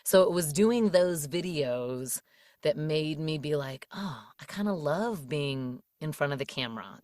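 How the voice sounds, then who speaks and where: Low-voiced woman, chatty guest. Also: no echo, clean studio sound. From Washington DC area. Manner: chatty, laughs often, many fillers. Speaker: podcast guest, voice actor. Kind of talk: casual interview chat.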